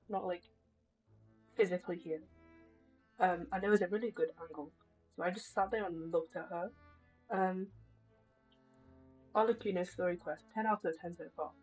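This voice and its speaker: A woman's voice: lowish voice, moderate pace, pauses often, darkish tone, breathy edge, faint music behind, poor audio. A woman streaming a game, chatting casually in a rambling, joking way.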